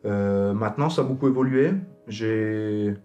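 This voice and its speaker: A man's voice: lowish voice, measured pace, warm tone, lo-fi sound. Man over music. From abroad, outside France. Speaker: circus acrobat, company founder. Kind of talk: informal interview about own work.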